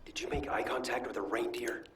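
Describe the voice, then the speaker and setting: An actor playing a panicky, excitable comrade in a video game, calling out with frantic urgency. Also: male voice, mid-range voice, a little fast, roomy sound, distant mic, natural voice. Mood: panicked.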